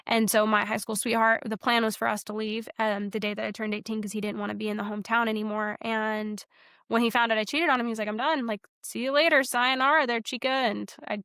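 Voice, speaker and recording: Earnest young woman, smooth dry voice. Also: a little high, level tone, fast, fairly bright tone, no echo. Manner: earnest, frank, many fillers and restarts. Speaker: guest sharing her testimony. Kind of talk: casual personal storytelling.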